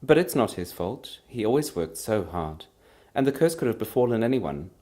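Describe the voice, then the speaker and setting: A man's voice: fairly low pitch, very animated, normal speed, dark tone, pitched above the performer's own voice. A man voicing a proud, anguished, defiant wife in a storybook reading, impassioned and emphatic, trembling with feeling. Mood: resolute. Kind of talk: dramatic storybook character reading.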